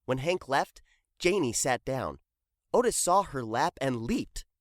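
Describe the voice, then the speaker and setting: A woman reading a children's book aloud in an expressive storytelling style. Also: extremely low pitch, a little slow, no echo, clean studio sound.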